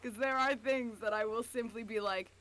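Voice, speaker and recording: A woman with a fairly high voice chats casually as a streamer. She is playful and emphatic, circling back over phrases as she goes. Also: steady tone, normal speed, fairly bright tone. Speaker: streamer co-reading fan fiction. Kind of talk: casual chat.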